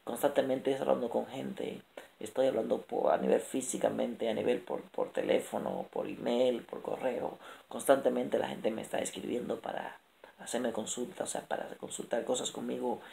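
A man in his thirties talking straight into a close camera in a small room at night, promoting his book with lively, repetitive motivational lists. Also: mid-range voice, moderate pace, vocal fry, slight background noise. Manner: energetic, gesturing a lot, repetitive lists. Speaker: author and motivational speaker. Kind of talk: late-night motivational vlog. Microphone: camera held close.

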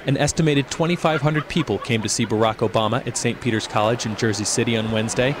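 A man narrating a scripted news report in a measured, even way. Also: mid-range voice, noisy background.

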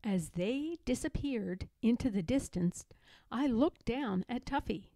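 A woman reading a children's story aloud in an expressive, dramatic way, leaning on key words. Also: a little high, unhurried, no room sound. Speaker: storybook reader.

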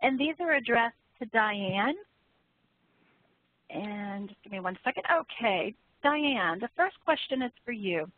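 A woman's voice: fairly high pitch, expressive, a little slow, no echo, narrow, tinny audio. A woman moderating a webinar panel, reading out questions and responding to panelists over a phone or conference line.

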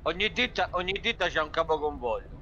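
A man's voice: a little high, animated, fast talker, highs cut off, a crowd in the background. A man in casual gaming chat, directing others and repeating his instructions. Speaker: online truck-convoy gamer organizing the group.

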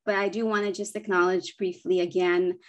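A woman's voice: on the low side, little pitch movement, fairly quick, lo-fi sound. Woman from the Philippines, Bisaya native speaker, 40s, earnest, lots of ums, laptop webcam audio. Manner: earnest, thoughtful, with frequent ums and self-corrections. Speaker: children's book publisher. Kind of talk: presentation over video call. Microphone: laptop webcam on video call.

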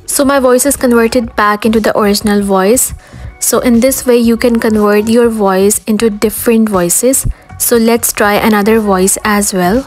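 High-voiced woman narrating a software tutorial. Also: fairly even intonation, moderate pace, low-quality recording. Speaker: tutorial host. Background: background music.

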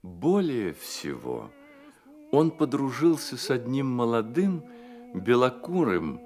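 Slow, expressive male storyteller. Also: medium pitch. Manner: expressive storytelling with deliberate phrasing. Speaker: narrator. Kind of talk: scripted literary narration for a radio play.

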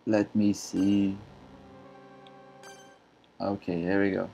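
A man from Brazil whose first language is Portuguese, chatting casually in halting English with many fillers and frequent laughs while streaming a game. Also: sits low, little pitch movement, creaky, mellow, soft background music. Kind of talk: casual live-stream commentary.

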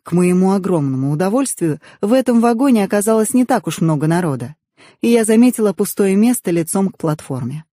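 Mellow-voiced woman narrating an audiobook. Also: medium pitch, lively intonation, brisk, dry recording, studio quality. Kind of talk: expressive scripted narration of a novel in first person.

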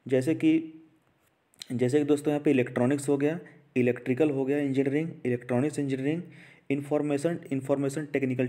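A man presents an informal explainer for an education channel. His voice sits at an average pitch with a slightly soft, relaxed tone. He speaks conversationally, repeating himself and often checking in with listeners. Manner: repetitive, conversational with frequent check-in tags. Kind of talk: informal explainer to camera-less video audience.

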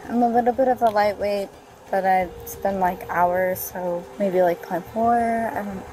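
A woman's voice: medium pitch, steady tone, unhurried, background noise. A tipsy young woman talking spontaneously to police, picked up on a body camera. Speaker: detained driver. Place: outdoor roadside at night, later squad car. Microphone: officer's body camera. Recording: body camera audio with music underneath.

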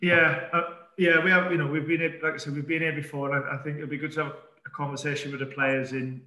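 A middle-aged man, a football manager, answering press questions calmly over a video call, with many fillers and restarts. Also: a little high, quick pace. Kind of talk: post-match press conference. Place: indoor press room in front of a sponsor board. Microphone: webcam on a video call. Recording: echoey room.

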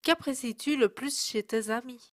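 A woman reading a scripted voice-over and putting interview questions in a clear, measured way. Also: fairly high pitch, a little hiss or hum. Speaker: narrator and interviewer.

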